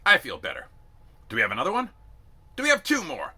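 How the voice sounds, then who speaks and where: A man's voice: a little high, wildly swooping pitch, fast talker, sharp tone, breathy edge, pitched above the performer's own voice, exaggerated voice. A man voicing a contemptuous military drill sergeant in a book reading, barking theatrical insults with heavy emphasis. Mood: challenging. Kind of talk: dramatic read-aloud of a military novel.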